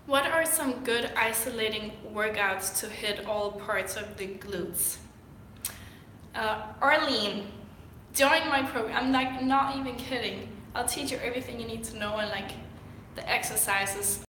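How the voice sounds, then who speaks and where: A young woman from Denmark, sick and clearing her throat, answering viewer questions in a rambling, chatty way with lots of fillers on a livestream. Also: a little high, a little slow, bright, forceful tone. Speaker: fitness vlogger. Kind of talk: casual livestream Q&A. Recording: echoey room with faint noise.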